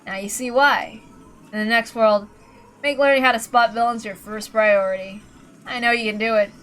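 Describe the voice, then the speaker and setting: A villainous uncle from a visual novel, played by a woman. He talks casually and calmly, joking with mock kindness while being utterly ruthless. Faint music plays behind him. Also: on the high side, moderate pace, lightly acted, poor audio. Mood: mocking, resigned. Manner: casual, calm, mockingly kind. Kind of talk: dramatic visual novel character reading.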